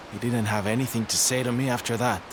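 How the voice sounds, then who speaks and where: A man's voice: mid-range voice, noisy background, close to an everyday voice. An actor playing a flamboyant, sensitive male circus performer in a video game, camp and dramatic in his delivery. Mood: rueful, resolving. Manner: camp, dramatic, sighing, coy. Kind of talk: video game character acting.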